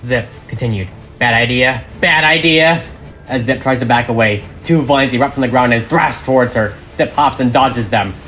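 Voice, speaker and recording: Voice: medium pitch, fairly quick, hardly any pauses. A narrator reading an audio drama script aloud, stage directions included, in a dramatic way. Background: music.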